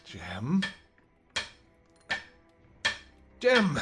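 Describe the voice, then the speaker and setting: A man playing a devoted vampire king in an intimate audio roleplay, speaking earnestly and gently in halting, formal, old-fashioned words. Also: on the high side, huge pitch swings, over music, heavily acted. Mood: worried, pleading. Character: devoted vampire king, tender, anxious, apologetic husband.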